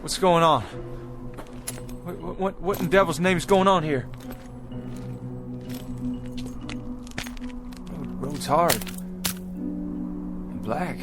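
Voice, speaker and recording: An actor playing an earnest, desperate pioneer husband who leads a wagon party and speaks haltingly in a radio drama. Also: male voice, fairly high pitch, over music. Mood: bewildered, alarmed. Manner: halting, stammering, repeating words.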